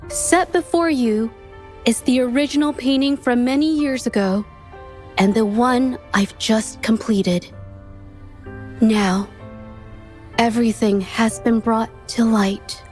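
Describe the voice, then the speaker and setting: An actress playing a shy, earnest young woman painter in a game, speaking softly and stammering when flustered. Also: on the high side, slow, long pauses. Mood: resolute. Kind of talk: game voice acting. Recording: game audio with faint music underneath.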